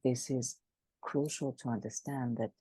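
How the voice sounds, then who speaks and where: A woman speaking as the expert guest in an interview, animated and emphatic, often restarting her sentences. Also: a bass voice, unhurried, slightly rough, dry recording. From Greece. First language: Greek. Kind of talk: conversational interview.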